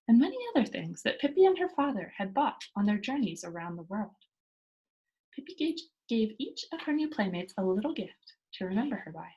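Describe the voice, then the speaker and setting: A woman reading a children's storybook aloud in an expressive narrating style. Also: mid-range voice, husky, low-quality recording. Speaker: storybook reader.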